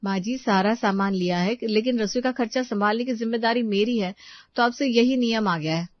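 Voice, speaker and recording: A woman's voice: on the high side, normal speed, no room sound, highs cut off, lightly acted. A woman voicing an exasperated, thrifty young housewife who explains and protests in a family moral story. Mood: explaining. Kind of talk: Hindi moral-story character dialogue.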